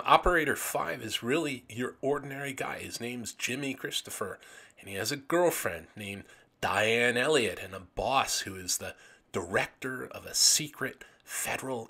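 A man with a gravelly, raspy voice narrating an illustrated commentary as host, with enthusiastic, dramatic emphasis on phrases. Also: medium pitch, measured pace, sharp tone. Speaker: host of a video series on vintage pulp magazines.

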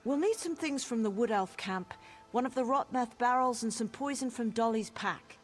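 A woman's voice: fairly high pitch, moderate pace, lightly acted. An actress playing a mischievous elf woman in a fantasy game who plots pranks in a conspiratorial, gleeful way. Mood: scheming. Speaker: quest giver in a fantasy game. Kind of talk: game dialogue.

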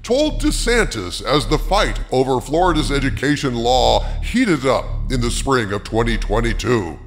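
A man's voice: medium pitch, very animated, normal speed, slight background noise. A man hosting an opinion show, delivering scripted commentary in a theatrical, emphatic, rhetorical manner. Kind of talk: scripted commentary with article readings. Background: background music.

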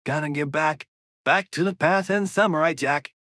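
A man voicing a cocky male fighter in a game, brash and showy with a creaky edge. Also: fairly high pitch, moderate pace, fairly bright tone, no room sound, studio quality, close to an everyday voice.

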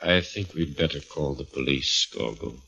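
Raspy, stern doctor. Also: male voice, rumbling low, expressive, moderate pace, bright, forceful tone, soft background music, exaggerated voice. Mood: grave. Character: startled, stern male doctor and scientist. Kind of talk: old-time radio drama acting. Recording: dull old radio recording.

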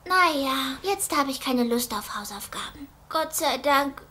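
A woman's voice: high voice, animated, unhurried, pushed and bright, full character voice. A woman playing a whiny, dramatic and dreamy teenage schoolgirl in an anime radio play, full of sighs and exclamations. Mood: tired, sulky. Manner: exclaiming, sighing, theatrical.

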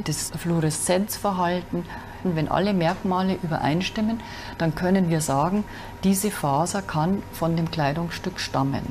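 A woman forensic specialist explains her methods calmly, one step at a time, in a lowish voice. There is a faint hiss of noise behind her. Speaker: forensic textile expert. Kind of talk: interview explanation.

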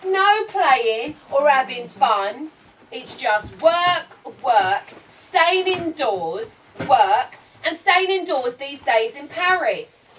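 Puppet show cat, female performer: chummy, slangy, high-pitched, distant mic. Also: expressive, very slow, fairly bright tone, exaggerated voice. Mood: chatty, confiding. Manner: chummy, slangy, playing to the audience. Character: cheeky chatty cat narrating her tale, longing to play. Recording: distant camera mic, noisy room.

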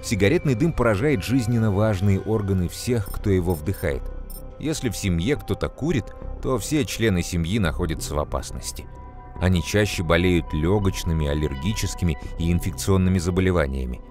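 A deep-voiced adult male mentor from an educational children's cartoon. He explains calmly and precisely in a measured, teacherly way. Music plays behind him. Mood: earnest, explanatory. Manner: measured, didactic, clearly enunciated.

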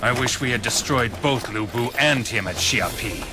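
An actor playing a blunt, loyal and confident warrior general in a video game cutscene. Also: male voice, medium pitch, normal speed, natural voice. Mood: grave, determined. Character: gruff, loyal one-eyed ancient Chinese warrior general. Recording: dramatic game music behind.

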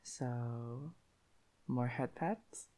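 A man's voice: mid-range voice, very slow, warm tone, slight background noise. A man voicing an affectionate, shy young dog-boy boyfriend in an intimate roleplay, with a lisp and hesitant, cutesy delivery. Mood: happy, bashful. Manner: breathy giggling, hesitant stammering, cutesy. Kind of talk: intimate roleplay audio acting.

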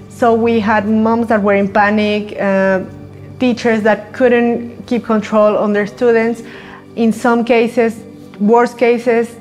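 A woman speaks in a fairly high voice. She is deliberate and pauses now and then to find her words. Faint music plays underneath. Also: a little mellow. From Mexico. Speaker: education officer. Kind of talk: documentary interview.

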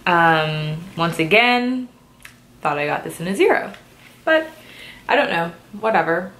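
A woman's voice: on the low side, sing-song, a little slow, a bit bright. Chatty young woman with a stuffy nose. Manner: chatty, frequent "like" and "um" fillers. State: stuffy from a cold. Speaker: fashion vlogger. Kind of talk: casual try-on haul chat.

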